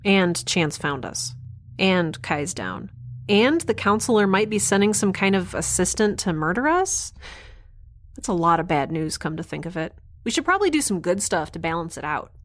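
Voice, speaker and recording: A woman's voice: lowish voice, sing-song, normal speed. A woman in an audio drama playing a plucky, awkward young adventurer, talking casually with dry humour. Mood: wry, upbeat. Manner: casual, rambling, dryly humorous. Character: plucky, wry, awkward young woman scientist-adventurer narrating her log. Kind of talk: naturalistic audio drama acting.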